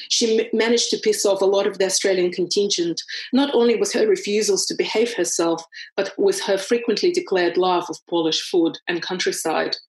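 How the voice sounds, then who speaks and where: A woman's voice: fairly low pitch, rough recording. A woman with a heavy foreign accent, reading from her own book and then talking it over thoughtfully, with restarts. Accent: foreign accent in English. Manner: thoughtful, searching for words, frequent restarts. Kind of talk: reading aloud, then conversational interview.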